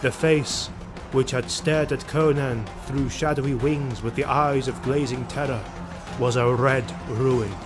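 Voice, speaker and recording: Man narrating dark fantasy over music. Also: medium pitch, fairly even intonation, measured pace. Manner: grave, dramatic storytelling. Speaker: audio drama narrator. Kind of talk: scripted dramatic fantasy narration. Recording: music behind the voice. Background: dramatic music.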